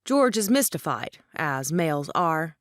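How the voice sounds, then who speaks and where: A woman's voice: deep voice, sing-song, a little slow, no room sound, studio quality. A woman with a Scottish accent reading scripted stage directions as a wry narrator.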